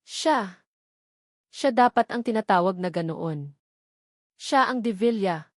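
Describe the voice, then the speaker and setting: A woman's voice: medium pitch, animated, slow pace, no echo. Filipino woman narrating drama, dry recording. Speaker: story narrator. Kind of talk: dramatic scripted fiction narration.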